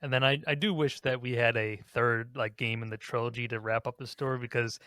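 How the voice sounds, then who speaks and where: A man's voice: medium pitch, fairly even intonation, brisk, pushed and bright, dry recording, clean studio sound. A man hosting a casual group discussion, talking in a rambling, self-correcting way. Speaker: podcast host.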